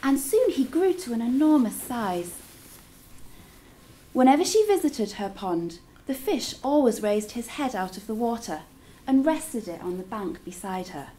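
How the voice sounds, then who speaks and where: A woman's voice: a little high, very animated, unhurried, warm tone. A woman teacher reading a story aloud to children in a dramatic, engaging way. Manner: dramatic, engaging listeners with questions.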